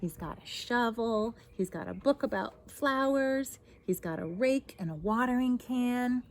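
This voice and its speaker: A woman's voice: on the high side, animated, unhurried. A woman in her thirties reads a picture book aloud like a teacher, expressively, stressing key words and adding asides for young listeners. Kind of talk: children's read-aloud with commentary on the pictures. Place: outdoors in a garden.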